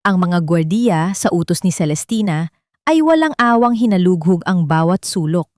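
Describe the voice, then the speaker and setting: A woman's voice: on the low side, expressive, moderate pace, darkish tone, no room sound, studio quality. A woman with a Filipino accent reading a scripted story as a dramatic audiobook narrator.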